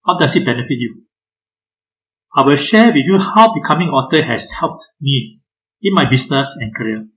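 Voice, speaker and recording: Man, 50s, guest webinar speaker; measured, hesitant, mid-pitched. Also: animated, poor audio. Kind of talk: online webinar presentation.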